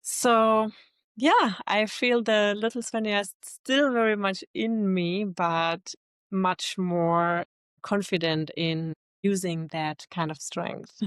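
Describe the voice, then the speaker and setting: A woman, a guest in a casual interview, thoughtful and quick to laugh. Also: mid-range voice, steady tone, clean tone, no echo. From Germany. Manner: laughs easily, thoughtful, reflective. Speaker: guest, LinkedIn expert.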